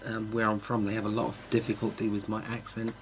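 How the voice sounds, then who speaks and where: A middle-aged man from Norwich co-hosting a local radio chat, talking in a halting way and often repeating words as he starts a thought. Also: lowish voice, monotone, slight background noise, rough recording. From Norwich, Norfolk, England. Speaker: radio co-host.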